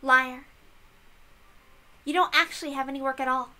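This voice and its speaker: A woman's voice: high-pitched, fairly even intonation, normal speed, bright, forceful tone, pitched above the performer's own voice. A woman voicing an earnest, insistent young female servant with a big-sisterly air, in a visual novel reading. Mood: teasing. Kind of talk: visual novel read-aloud character voice.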